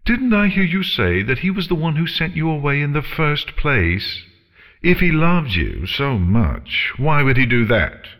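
Man as a haughty, theatrical female dragon, swooping pitch. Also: very animated, measured pace, fairly bright tone, long reverb tail, full character voice. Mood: taunting. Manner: sneering, theatrical, condescending. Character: huge haughty female dragon, mocking and callous. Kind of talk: storybook character acting.

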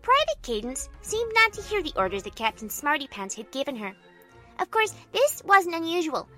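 A little girl who fancies herself a general in an audio story. Her voice is extremely high and bright, swinging widely in pitch. She is bossy, earnest and full of self-importance, with soft music underneath. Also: very animated, normal speed, exaggerated voice. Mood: earnest, boastful. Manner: earnest, pompous, childlike narration with barked orders. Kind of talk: first-person story narration. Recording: music behind the voice.

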